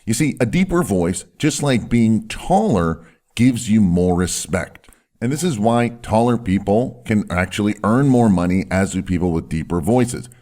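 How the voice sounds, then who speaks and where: A man, a doctor, teaching viewers in an instructional video, emphatic and slowing down to drop his voice low on key words. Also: lively intonation, mellow. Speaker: doctor and health video host. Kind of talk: instructional video talk to camera.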